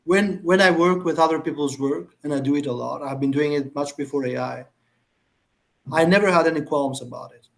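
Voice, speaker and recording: Guy on a video call, kinda gravelly, slightly high voice, keeps restarting his sentences. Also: a bit bright. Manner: thoughtful, halting, many restarts. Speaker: guest speaker, conceptual artist. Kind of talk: casual lecture Q&A over video call.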